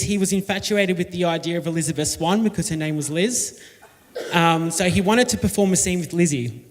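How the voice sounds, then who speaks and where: A man giving a jokey, reminiscing wedding toast to his friends. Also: sits high. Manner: reminiscing, jokey, read from notes. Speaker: wedding speaker, friend of the couple. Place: wedding reception hall. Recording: long hall reverb.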